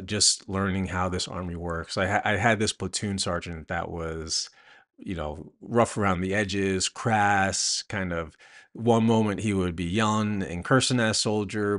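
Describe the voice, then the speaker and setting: A man answering interview questions conversationally as an author and host, thinking aloud haltingly with many a "you know". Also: low-pitched, a bit bright.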